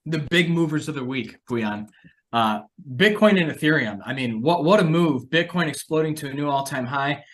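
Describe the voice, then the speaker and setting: A man hosting a market analysis show, giving conversational financial commentary in a measured way, thinking aloud as he goes. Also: medium pitch, fairly bright tone. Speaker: host of a market analysis podcast.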